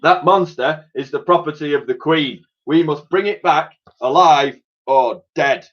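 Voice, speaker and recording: A man's voice: a little high, a little slow, fairly bright tone, voice pushed up. A man voicing a snarling, commanding police chief in a children's storybook, on a lo-fi recording. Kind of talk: storybook character voice. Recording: dull, lo-fi recording.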